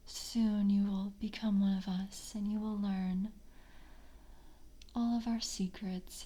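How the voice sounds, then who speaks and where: A woman acts out a courteous, hungry vampire of the coven in an ASMR roleplay. She speaks in a hushed, airy whisper with a soft, dark tone. Her delivery is slow, deliberate and formal, with a seductive intimacy. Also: medium pitch. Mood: pleased, inviting. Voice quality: breathy, mostly whispered.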